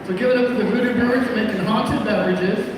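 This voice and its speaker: A man doing a stand-up comedy set, rambling through self-mocking asides with filler pauses. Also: high-pitched, noisy background. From Florida. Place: comedy club stage. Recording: echoey room audio from a distant mic.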